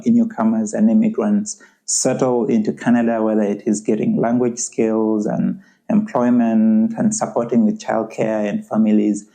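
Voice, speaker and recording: A man's voice: lowish voice, dark tone. A young adult man with a Ugandan accent, speaking earnestly as a podcast guest in a conversational interview and often saying "you know".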